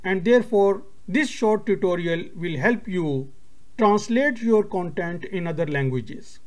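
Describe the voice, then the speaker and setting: A man whose first language is Urdu, hosting a screen-recorded tutorial and explaining each step in a steady, methodical way. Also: sits high, a little slow. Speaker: tutorial host.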